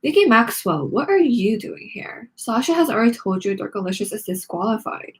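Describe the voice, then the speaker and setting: A woman voicing a haughty, indignant teenage rival with a shrill, accusing delivery in a children's book read-aloud. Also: big pitch swings, a little fast. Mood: scornful.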